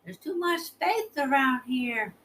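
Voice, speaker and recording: A woman's voice: high voice, lively intonation, unhurried, fairly bright tone. A woman co-host bantering casually in a playful, silly, childlike way. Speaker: co-host, host's mother.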